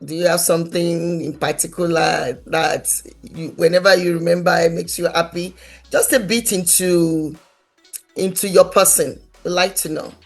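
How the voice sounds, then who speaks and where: A woman hosting a conversational interview in a gentle, encouraging way. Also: fairly low pitch, steady tone, moderate pace, soft background music. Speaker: interview host.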